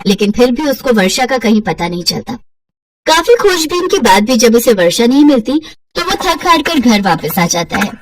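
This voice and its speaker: High-pitched woman narrating a moral story. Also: a little hiss or hum, poor audio.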